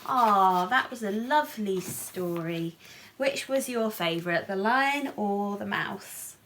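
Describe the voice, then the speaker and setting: A woman reads a picture book aloud in a bright voice at a slow, careful pace. She is expressive and playful, speaking straight to young listeners as a storyteller. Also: mid-range voice, slight background noise. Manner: animated, asks young listeners questions with playful asides.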